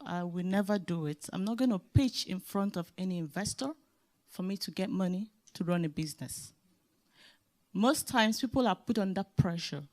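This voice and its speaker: A woman with a Nigerian accent, a leadership specialist speaking emphatically on a conference panel. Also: medium pitch. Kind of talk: panel discussion remarks.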